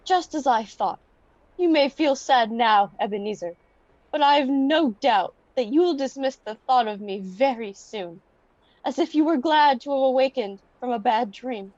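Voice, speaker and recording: A high-voiced young fiancée acted by a teenage girl in a radio play. She is solemn, hurt and resolute as she reproaches her beloved. The recording is dry and a bit muffled. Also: animated, moderate pace, a little mellow, no echo. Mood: sad, resolute. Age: teens. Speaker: student actor.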